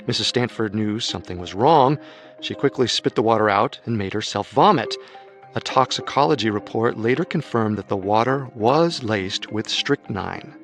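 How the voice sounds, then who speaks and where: A man narrating a scripted true-crime story as co-host, measured, with dramatic storytelling emphasis. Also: fairly low pitch.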